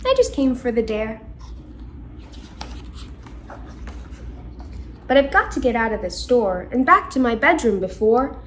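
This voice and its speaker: Woman playing a bright-spirited, high-voiced young boy. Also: big pitch swings, brisk, a little hiss or hum. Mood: eager. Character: brave, cheerful, helpful young boy.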